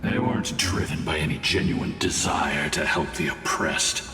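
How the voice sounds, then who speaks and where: A man narrating a game's history in a grave, measured way. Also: animated. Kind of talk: solemn scripted game narration. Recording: deep echoing reverb under game music.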